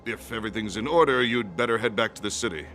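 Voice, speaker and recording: Low-pitched, dutiful guard captain. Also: male voice, sharp tone, soft background music. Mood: formal. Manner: formal, stiff, halting when flustered. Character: dutiful male guard captain, flustered by old friend, stern with subordinates. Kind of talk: video game voice acting.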